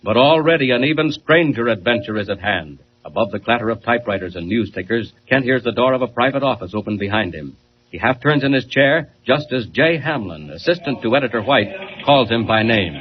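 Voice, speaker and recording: A man's voice: medium pitch, lively intonation, fairly quick, natural voice. A man narrating a scripted radio serial as its announcer, in a 1940s style. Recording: muffled, noisy old radio recording.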